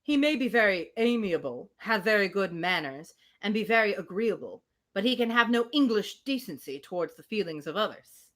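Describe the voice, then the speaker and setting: A woman with a mid-pitched voice reads a classic novel aloud for a live stream. Her delivery is expressive, moving easily between formal prose and casual, playful chat. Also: normal speed. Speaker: host reading a classic novel on livestream.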